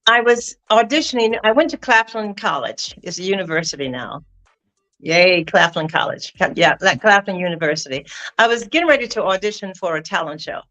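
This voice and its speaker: A woman's voice: fairly low pitch, expressive, brisk, fairly bright tone, faint music behind, rough recording. An older woman, a veteran singer, chatting as a guest and telling stories with expression. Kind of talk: casual interview chat.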